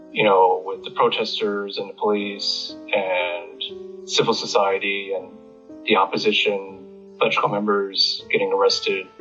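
An American man giving a reflective interview, halting as he searches for words. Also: fairly low pitch, a bit bright. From United States. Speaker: interviewee, independent bookstore owner. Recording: slightly muffled, music behind.

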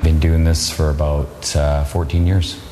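A man's voice: extremely low pitch, flat delivery, measured pace. A man in his fifties, a gym trainer, speaking in a documentary interview. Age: 50s. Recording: echoey room with loud crowd noise.

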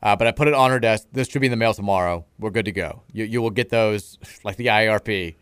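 A man's voice: lowish voice, quick pace, fairly bright tone, smooth, no room sound. Rambling man on sports talk radio. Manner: rambling storyteller, lots of "like" fillers. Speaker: radio co-host. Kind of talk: casual sports radio chat.

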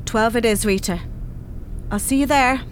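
An actress in a radio play playing an anxious, overwhelmed grown daughter, worried and unsure. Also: female voice, a little high, moderate pace, a little hiss or hum, natural voice.

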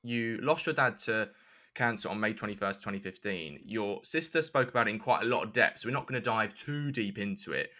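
A man's voice: medium pitch, bright, forceful tone. A man asking questions conversationally as a podcast host. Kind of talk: conversational interviewing.

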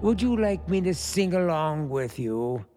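A man playing a sly, menacing brother-in-law in a radio play, deliberate and taunting, with a creaky voice. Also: a little high, big pitch swings, warm tone. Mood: sly menace. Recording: music behind the voice.

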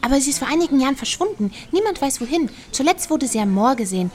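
An actress playing an eager, chatty small-town hostess with a soft spot for owls, in a German audio drama. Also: female voice, sits high, very animated, fast. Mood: helpful, confiding.

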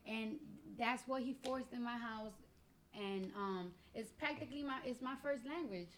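A woman's voice: on the high side, normal speed, a bit bright, a little hiss or hum, low-quality recording. A woman with a New York accent, a Spanish native speaker, chatting bluntly as a guest in slangy talk that trails off into restarts. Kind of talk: casual chat.

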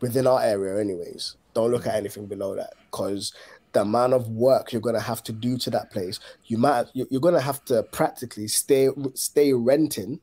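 Mellow-voiced London man, chatting casually. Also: mid-range voice, steady tone, normal speed. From Ghana and London. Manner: colloquial, London slang. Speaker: podcast co-host. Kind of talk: casual chat.

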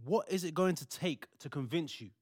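Young expectant dad, male actor, sincere and insistent, lighter higher pitch, dry audio-drama recording. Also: moderate pace, darkish tone, no echo, close to an everyday voice. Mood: frustrated. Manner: earnest, insistent. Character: earnest, frustrated young expectant father Joseph.

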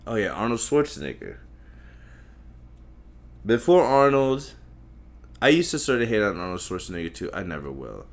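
Deep-voiced, crude rambling podcast man. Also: sing-song, normal speed, pauses often. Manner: crude, profane, self-mocking, meandering. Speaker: solo podcast host. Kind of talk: rambling solo podcast monologue. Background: faint noise from outside.

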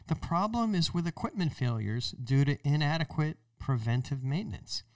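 An American man explains things in a conversational, radio-style talk. His mid-pitched voice swings widely for emphasis. He sounds a little distant from the microphone, in a dry space with no echo. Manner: emphatic, conversational, acts out imagined remarks. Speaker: podcast host.

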